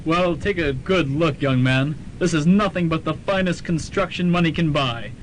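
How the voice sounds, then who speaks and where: Man playing a pompous, higher-voiced rich businessman. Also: moderate pace, lightly acted. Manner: pompous, condescending. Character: self-important, callous rich college financier. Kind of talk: radio drama acting. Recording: noisy amateur student radio recording.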